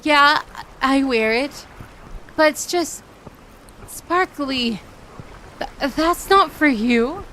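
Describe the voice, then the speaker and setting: A woman's voice: high-pitched, animated, extremely slow, pauses often, sharp tone, slight background noise, full character voice. A woman voicing a shy, grumpy young mermaid in a romantic roleplay audio, protesting indignantly and stammering in denial. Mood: embarrassed denial.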